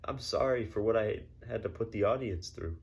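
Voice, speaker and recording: A man voicing a distraught, indignant female ballet dancer in a halting, exasperated way for a mock newsreel interview. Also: lowish voice, vocal fry. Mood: upset, apologetic. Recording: noticeable background noise.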